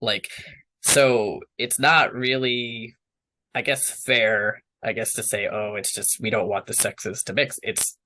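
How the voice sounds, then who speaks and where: A man's voice: fairly low pitch, steady tone, slow, pushed and bright, low-quality recording. A young man speaking candidly as an interview guest, rambling with many fillers and restarts. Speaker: interview guest, water professional.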